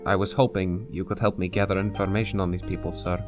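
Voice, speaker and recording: Low, dry mob runaway played by a man. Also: flat delivery, fast, over music, close to an everyday voice. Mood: cool. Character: dry, proud runaway son of crime family. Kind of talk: animated web series voice acting.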